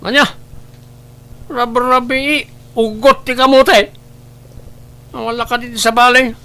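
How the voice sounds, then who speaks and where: Man playing a sharp, high-voiced angry husband. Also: flat delivery, measured pace, clean tone, full character voice. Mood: angry, scornful. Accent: Ilocano. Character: hot-tempered gambling husband, quarrelsome and scornful. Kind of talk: Ilocano radio-style family drama acting.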